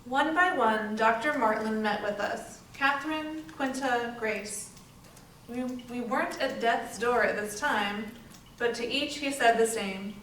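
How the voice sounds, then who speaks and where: A woman's voice: on the high side, unhurried, a bit bright, distant mic. Actress as hopeful young woman, brave, readers theater, echoey hall, faint noise. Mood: sober, hopeful. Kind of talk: dramatic readers theater monologue.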